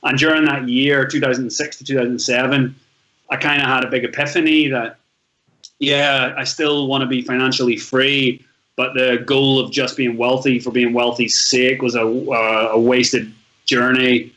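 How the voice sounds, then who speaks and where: A man's voice: medium pitch, little pitch movement, normal speed, pushed and bright, rough recording. A man in his thirties with a Northern Irish accent, telling his life story as a podcast guest in a chatty, rambling way with frequent restarts. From Northern Ireland. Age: 30s. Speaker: podcast guest, travel blogger. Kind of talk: casual storytelling interview.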